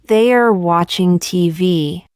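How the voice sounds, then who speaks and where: A woman reading short practice sentences aloud for learners, saying each one twice, slowly and carefully. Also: lowish voice, a little mellow, clean tone, dry recording, clean studio sound. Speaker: English teacher.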